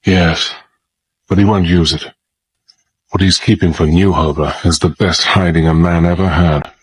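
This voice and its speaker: An actor playing a resolute middle-aged gentleman adventurer, narrating his exploits in measured, formal, old-fashioned phrasing. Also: low voice, fairly even intonation, slightly rough, no echo, poor audio. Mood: grave, reflective. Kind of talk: dramatised first-person narration and dialogue.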